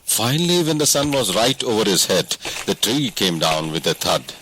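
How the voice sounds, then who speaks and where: A man's voice: lowish voice, very animated, normal speed, sharp tone, a little hiss or hum, rough recording. A man narrating a scripted audiobook story as a reader.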